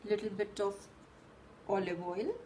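A woman's voice: mid-range voice, fairly even intonation, unhurried, mellow, a little hiss or hum. A woman in her thirties, host of her channel, explaining a simple recipe step by step from a table in a small room. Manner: patient, step-by-step instruction. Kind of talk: cooking demonstration for parents.

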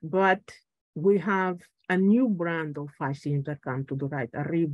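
Woman from Angola, Portuguese mother tongue, middle-aged political speaker, halting delivery, dry close sound. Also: low voice, steady tone, no echo, muffled recording. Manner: halting, searching for words, repeating phrases. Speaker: political party speaker at a conference. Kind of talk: political talk by video call. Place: room at home. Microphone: video call.

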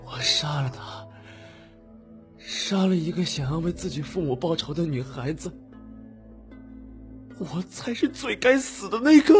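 Guy doing a tormented unstable young taoist, high pitched and really animated, audiobook drama. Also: a little slow, pauses often, faint music behind. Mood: horrified guilt. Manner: intense, tormented, agitated delivery. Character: anguished, unstable young man in red Taoist robes.

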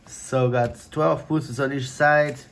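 A young man whose first language is Portuguese, chatting casually at home in his gaming room, with lots of filler words and sudden excited bursts. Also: medium pitch, a little slow, creaky. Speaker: card opening vlogger. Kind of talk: casual unboxing chat. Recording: faint crinkling and desk noise.

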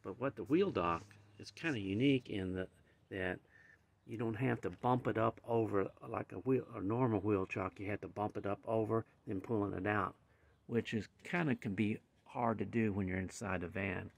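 Gravelly low-voiced older man explaining. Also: moderate pace. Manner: rambling, hands-on explaining. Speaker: channel host. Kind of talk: casual demonstration. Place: garage with van, indoors and outdoors.

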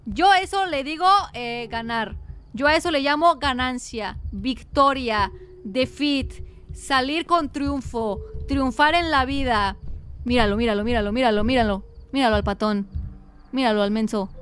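High-voiced Mexican woman streaming a horror game. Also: a bit bright. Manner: dramatic, teasing, breaks into song. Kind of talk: gaming livestream commentary.

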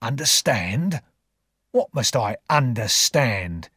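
Guy doing a rough old ex convict for an audiobook, husky n really slow, weary but fond. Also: mid-range voice, big pitch swings, no room sound, clean studio sound, exaggerated voice. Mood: slow, questioning. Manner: slow, quiet, deliberate.

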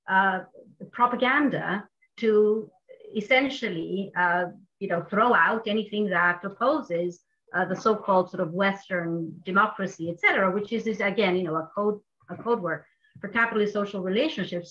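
Older Argentine-accented woman on a video call, lively panelist, muffled audio. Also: medium pitch. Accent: Argentine Spanish. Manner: animated, with frequent fillers and restarts. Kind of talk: online panel discussion. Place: home office with wall maps.

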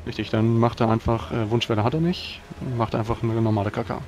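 A man's voice: medium pitch, flat delivery, quick pace, a little mellow, a little hiss or hum. A man chatting casually as a player in a tabletop role-playing game.

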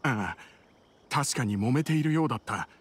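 A man's voice: medium pitch, animated, normal speed, slight background noise, lightly acted. A man playing a frightened, cautious villager in an anime video game, explaining things earnestly. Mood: shaken, relieved. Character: frightened young male villager, robbed and cautious. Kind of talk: Japanese anime game voice acting. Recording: background music under voice.

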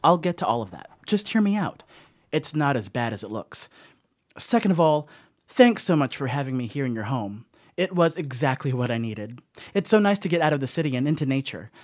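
Sheepish young male stoner house-sitter played by a man, horror radio play. Mid-pitched, rambling, apologetic, closely and dryly recorded. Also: dry recording, clean studio sound, natural voice. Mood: sheepish, reassuring. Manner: rambling, apologetic, casual asides. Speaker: voice actor. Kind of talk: audio horror monologue, conversational confessional.

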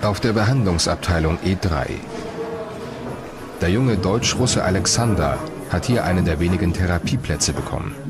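A man narrates a documentary in a calm, measured read. His voice sits very low, and music runs loud beneath every line.

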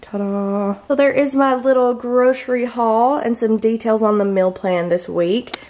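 A young woman in her twenties chatting casually about her groceries in a home kitchen, bubbly and quick to laugh. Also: on the high side, darkish tone. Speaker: lifestyle vlogger. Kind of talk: casual grocery haul chat.

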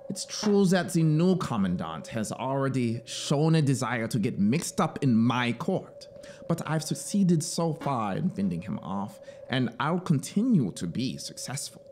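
A man's voice: medium pitch, sing-song, a little mellow, a little hiss or hum, pitched above the performer's own voice. Guy doing a smug young colony officer for a storybook, proud n emphatic, voice swings up and down a lot. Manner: emphatic, deliberate, proudly explanatory. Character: zealous, self-satisfied young male penal colony officer. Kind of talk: dramatised storybook reading.

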